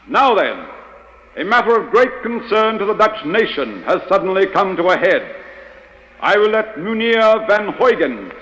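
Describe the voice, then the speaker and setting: A man playing a formal, commanding spymaster who gives orders in measured phrases, in a 1940s radio drama. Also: sits high, a bit bright, poor audio. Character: formal, authoritative male chief of a secret agency.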